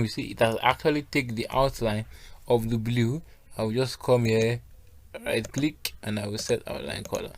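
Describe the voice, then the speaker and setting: Male graphic design instructor, step-by-step screen tutorial delivery, mid-pitched voice, instructional and checking in with learners. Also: dry recording.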